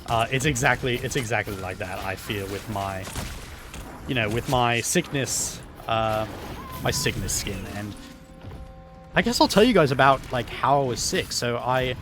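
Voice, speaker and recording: Hoarse rambling man telling absurd tales. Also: medium pitch, unhurried, music in the background. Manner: rambling, filler-heavy, absurd deadpan tales. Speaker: gaming YouTuber. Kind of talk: rambling story over gameplay.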